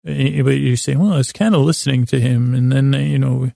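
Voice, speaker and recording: A man rambles through a bedtime monologue as a podcast host. His voice is very gravelly and creaky, with little pitch movement, in a close, dry recording. He meanders and halts, pausing mid-thought to restate what he just said. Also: steady tone, warm tone, no room sound. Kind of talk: rambling bedtime monologue to help listeners sleep.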